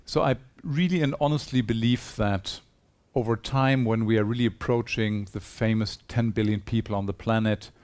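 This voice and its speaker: A man's voice: lowish voice, fairly even intonation, moderate pace, few pauses, darkish tone, clear, resonant tone. A man giving a conference presentation in a hall, earnest and persuasive, putting rhetorical questions to his audience. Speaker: conference speaker.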